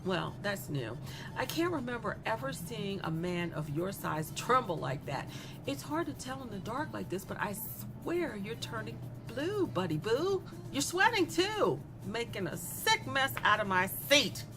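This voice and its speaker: Woman acting a taunting, flippant young female killer, animated delivery. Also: on the high side, measured pace, over music. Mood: amused, disgusted. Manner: mocking, conversational, sardonic, giggly asides. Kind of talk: dramatic monologue in an audio horror comedy.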